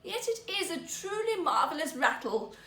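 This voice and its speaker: A woman's voice: extremely high pitch, very animated, moderate pace, sharp tone, roomy sound, pitched above the performer's own voice, full character voice. A woman voicing a spoilt, haughty young princess in an emphatic, imperious storybook manner. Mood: giving in.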